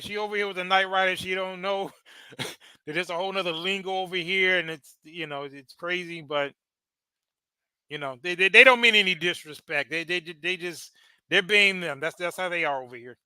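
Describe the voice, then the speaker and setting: A high, bright male voice comes through crisp and dry, right up on the mic. He talks casually over a video, stammering and restarting his words and tossing in chuckling asides. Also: a little fast, no echo. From United States. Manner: chatty, stammering, laughing asides. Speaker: podcast host reacting to a video. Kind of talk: casual live commentary.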